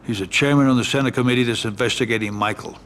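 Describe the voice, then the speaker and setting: An actor playing a calm, calculating middle-aged American mafia lawyer and adviser in a video game cutscene. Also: male voice, medium pitch, fast, slight background noise, natural voice. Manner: advising, composed.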